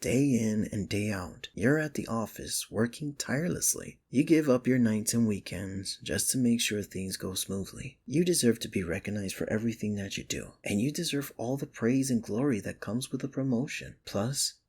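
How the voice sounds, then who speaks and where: A man's voice: on the low side, moderate pace, hardly any pauses, darkish tone, slightly rough. A man playing a sardonic but secretly caring office rival in an intimate ASMR romance roleplay, with dry, earnest delivery. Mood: earnest, admiring. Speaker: ASMR voice actor.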